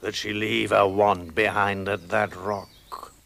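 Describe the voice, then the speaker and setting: A man playing a great lion king in a fantasy drama, solemn, measured and commanding. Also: on the low side, level tone, vocal fry, bright, forceful tone, full character voice. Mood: calm command. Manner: measured, grave, authoritative.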